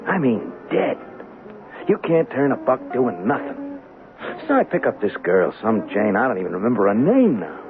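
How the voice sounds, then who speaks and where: An actor playing a haunted young hustler in a seventies radio drama, streetwise, slangy and stammering. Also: male voice, medium pitch, sing-song, moderate pace. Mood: bitter, agitated. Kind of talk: 1970s radio drama acting. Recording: muffled old radio broadcast with music.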